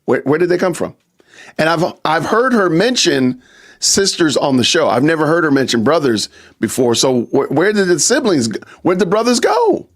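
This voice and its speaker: A man's voice: a little high, sing-song, normal speed. A man hosting a live stream podcast, talking casually to his chat and repeating rhetorical questions. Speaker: podcast host.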